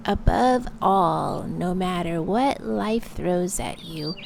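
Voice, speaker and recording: Man putting on a very slow, gentle granny grape. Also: sits high, vocal fry, noisy background, voice pushed up, full character voice. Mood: gentle, wise. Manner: slow, drawn-out, earnest. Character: gentle, wise old grandmother grape.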